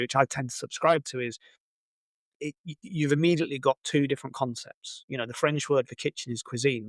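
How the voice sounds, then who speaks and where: Bloke hosting a podcast chat, creaky voice, really dry sound. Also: male voice, mid-range voice, dry recording. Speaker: podcast interviewer. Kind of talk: casual, discursive interview chat.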